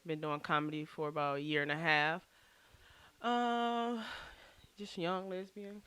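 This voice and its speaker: An easygoing woman in her thirties from Detroit answers questions at a relaxed pace. Her voice is bright and forward and comes across smooth and clear. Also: deep voice, unhurried, no room sound. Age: 30s. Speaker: comedian guest. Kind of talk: casual interview.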